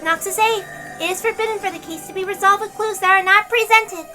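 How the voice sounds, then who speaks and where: A woman's voice: very high-pitched, brisk. A woman voicing a stern, formal inquisitor who recites absolute laws in a dramatic visual novel read-aloud. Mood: unyielding. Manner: formal, declarative, unyielding. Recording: loud music behind the voice.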